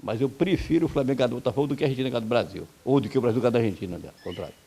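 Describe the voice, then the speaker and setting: A man's voice: medium pitch, mellow, breathy edge. A man from Brazil, a football coach, giving blunt, heated opinions in an interview. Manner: blunt, heated, rapid-fire complaints.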